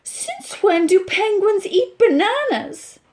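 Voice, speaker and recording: A woman's voice: very high-pitched, big pitch swings, takes it slowly, a little mellow, pitched above the performer's own voice, full character voice. A woman with an Irish accent voicing an anxious, gloomy male monkey who complains in a whiny, fretful way.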